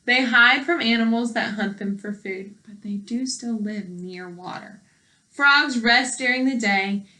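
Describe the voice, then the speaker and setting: A woman teacher with a bright, fairly high voice reads aloud for young children. She takes her time over the words and speaks in an instructive way, putting questions to her listeners. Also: a little slow, poor audio. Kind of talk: read-aloud lesson with commentary and questions.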